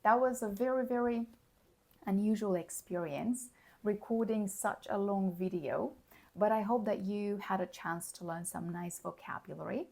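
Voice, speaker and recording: Hoarse raspy english teacher lady, talks slow, explains every word. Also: mid-range voice, warm tone. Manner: explains words plainly, checks in with "okay?" and "all right?". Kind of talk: slow, clear teaching monologue.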